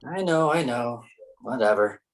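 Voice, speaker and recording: Man acting a whiny, dramatic actor, swooping pitch. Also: animated, a little slow. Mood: glib. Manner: whiny, theatrical, pretentious. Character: dramatic, flirty, self-pitying actor in his mid-thirties. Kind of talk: staged play reading.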